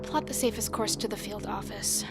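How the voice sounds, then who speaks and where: A woman's voice: medium pitch, fairly even intonation, natural voice. Actress as intense woman survivor in an audio drama, raw and emotional, music under her. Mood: resolute. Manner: emotional, halting in grief, firm when resolved. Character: Leah, grief-stricken but determined woman survivor of an apocalypse. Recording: music behind the voice.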